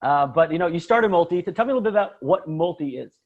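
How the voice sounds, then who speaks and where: An upbeat male host leads the interview, friendly and easygoing in a somewhat high voice. The audio is dull and lo-fi. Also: expressive, fast talker. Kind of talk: casual interview.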